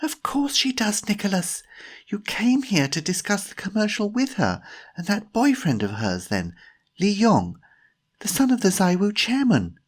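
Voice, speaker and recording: A man voicing an excitable, bossy young woman in an audiobook story, breathy and urgent. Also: fairly high pitch, a little slow, voice pushed up. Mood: exasperated, bossy.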